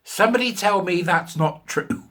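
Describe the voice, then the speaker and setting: A middle-aged British man giving sarcastic, incredulous, colloquial commentary on a news article in a husky voice. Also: high-pitched, normal speed, a bit bright. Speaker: commentary show host.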